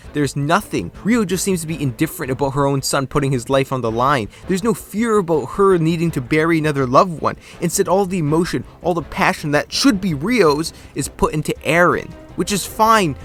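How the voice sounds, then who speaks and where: A man's voice: fairly high pitch, a bit bright. A young man with a stuffy, congested nose narrating a scripted game review, enthusiastic and opinionated.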